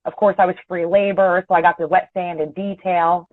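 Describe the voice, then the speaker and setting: A woman's voice: on the low side, little pitch movement, a little fast, no room sound. Philly-accented woman on a tinny call. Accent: Philadelphia with some North Jersey. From Philadelphia area, USA. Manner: chatty, laughs easily, blunt. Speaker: guest, auto body and paint tech. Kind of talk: casual interview chat. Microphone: video call. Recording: tinny dry call audio.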